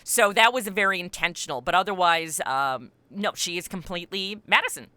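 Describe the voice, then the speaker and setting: A woman speaking conversationally as an interview guest, explaining her creative work in an animated, expansive way. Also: on the low side, brisk, smooth, no echo.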